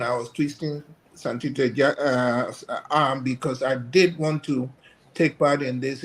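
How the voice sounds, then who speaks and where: An older man from Ghana, a guest professor giving measured, hesitant commentary. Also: mid-range voice. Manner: measured, hesitant with many fillers.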